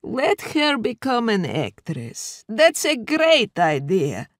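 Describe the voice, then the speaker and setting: Woman doing a sweet old granny, slow and soft, kinda high. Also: wildly swooping pitch, no room sound, studio quality, heavily acted. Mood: coaxing. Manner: slow, soft and gently coaxing. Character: frail, doting elderly grandmother.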